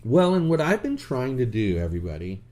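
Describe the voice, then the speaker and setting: Animated, crude-talking man co-hosting a podcast. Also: medium pitch, brisk. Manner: blunt, animated storytelling with crude jokes. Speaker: podcast co-host. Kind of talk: casual chat.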